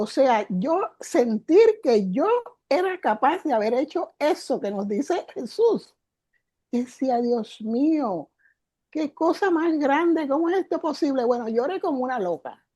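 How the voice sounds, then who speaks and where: A woman's voice: high-pitched, dark tone, slightly rough, no echo. An older woman, a guest teacher, telling her story in an interview with emotion, repeating words for emphasis. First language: Spanish.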